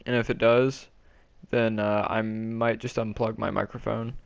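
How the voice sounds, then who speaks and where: A man doing casual walkthrough commentary for a game video, talking in a halting, low-key way. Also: little pitch movement, vocal fry, dry recording. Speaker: gaming video creator.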